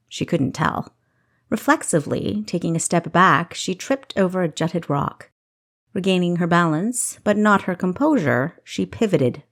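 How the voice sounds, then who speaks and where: A woman narrating a novel as an audiobook, reading expressively from a script. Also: on the low side.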